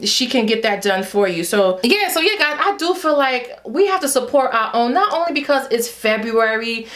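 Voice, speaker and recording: A woman's voice: on the high side, fairly bright tone. A young adult Haitian woman with a Haitian accent, chatting playfully and directly to her viewers in a casual hair vlog. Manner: chatty, playful, talks straight to viewers with y'all. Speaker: beauty vlogger.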